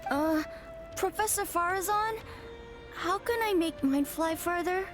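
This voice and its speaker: A woman's voice: extremely high pitch, measured pace, over music. A woman voicing an eager, curious small child in an anime-style video game.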